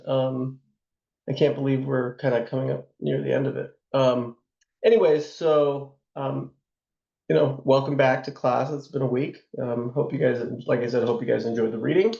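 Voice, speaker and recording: A man teaching a class on investing, hoarse from a sore throat, talking in a loose conversational way with plenty of fillers and asides. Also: medium pitch, darkish tone, reverberant, lo-fi sound. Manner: loose and conversational, many fillers and tag questions. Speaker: instructor. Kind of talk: lecture on investing.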